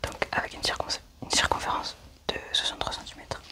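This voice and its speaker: A whispered ASMR voice in the role of a medical examiner. The delivery is soft and methodical, describing each step of the procedure in a hushed breath. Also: a little fast. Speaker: ASMR roleplayer acting as an examiner doing an alien autopsy.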